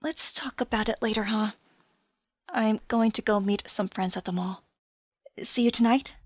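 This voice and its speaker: An actress playing a lonely, grieving young woman in an audio drama, with a breathy delivery. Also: fairly high pitch, normal speed, no room sound, clean studio sound, lightly acted. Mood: awkward, evasive.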